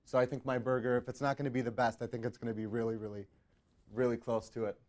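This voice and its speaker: Male home cook of thirty, mid-pitched, talking casually as a cooking contestant. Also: level tone, fast talker, a little mellow. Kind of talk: casual group discussion.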